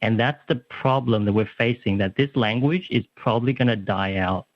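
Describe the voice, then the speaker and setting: A man's voice: on the low side, moderate pace, no echo. A young man calling in to a radio talk show, earnest and talking with many restarts and fillers. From Australia. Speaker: caller. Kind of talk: radio talkback call. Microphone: phone. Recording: muffled phone line.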